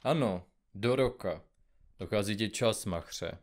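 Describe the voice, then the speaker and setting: A man playing an impatient, grumpy investigative journalist in an audio comedy drama, with a gruff, curt, colloquial manner. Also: on the low side, natural voice. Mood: impatient, urgent.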